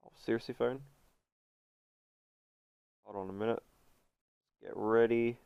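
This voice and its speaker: A stuffy-nosed man talks through a gaming tutorial in a loose, rambling way. His voice sounds smooth and very dry, right up on the mic with no room sound. The top end is a little dull. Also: mid-range voice, level tone, a little slow, dry recording. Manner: chatty, many fillers. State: congested, runny nose. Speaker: gaming video host. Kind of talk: casual game guide voice-over.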